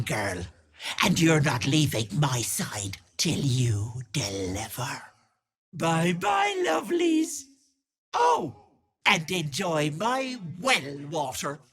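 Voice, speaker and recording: A woman playing a sly old hag witch in a video game, with an Irish accent and a husky, raspy voice that wheedles sweetly and turns menacing. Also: sits low, fairly bright tone, exaggerated voice. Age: older. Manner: wheedling endearments turning to menace. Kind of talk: video game character acting.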